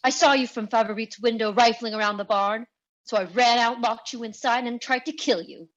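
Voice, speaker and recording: A woman's voice: fairly high pitch, brisk, highs cut off, natural voice. A woman playing a cornered cartoon villain, protesting and self-justifying in a melodramatic way. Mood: defiant confession.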